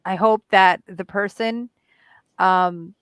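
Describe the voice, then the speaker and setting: A woman's voice: medium pitch, fairly even intonation, fairly bright tone, smooth, no echo. Giggly woman co-host, dry sound. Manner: giggly, halting, with fillers. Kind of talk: casual podcast chat.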